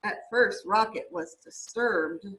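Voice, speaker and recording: A woman reading a children's story aloud expressively, with deliberate, drawn-out emphasis for young listeners. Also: mid-range voice, fairly bright tone, rough recording. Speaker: storybook reader.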